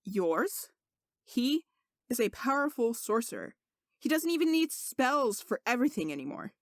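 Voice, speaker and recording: A woman narrator plays a young king, fond but doubtful and worried. The voice sits fairly high and sounds dry, with an earnest, exasperated audiobook delivery. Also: sing-song, normal speed, dry recording.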